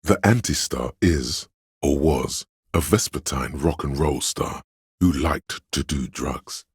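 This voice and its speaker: An actor voicing an erudite, worldly inner voice of knowledge in a video game, narrating facts in a measured, dry, scholarly way. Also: male voice, extremely low pitch, slightly rough, dry recording, full character voice. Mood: measured, knowing.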